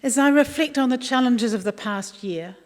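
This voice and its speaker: A woman giving a formal prepared speech in a deliberate, earnest way. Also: a little high, a little mellow. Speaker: commission chairperson, guest speaker. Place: large indoor hall, public address. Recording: echoey hall, long reverb.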